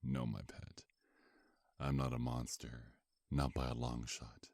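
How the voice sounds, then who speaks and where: A man playing a seductive, possessive vampire mafia boss in an ASMR roleplay, with a taunting, drawn-out, intimate murmur. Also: very deep voice, flat delivery, gravelly, a little mellow, dry recording, studio quality, exaggerated voice. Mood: cold, self-justifying.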